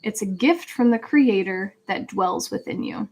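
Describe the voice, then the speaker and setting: A woman's voice: mid-range voice, animated, a little slow, darkish tone. A woman hosting a live spiritual card reading, speaking conversationally and earnestly as she guides listeners and reads out messages. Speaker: live tarot reader and host. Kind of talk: casual livestream chat with card reading.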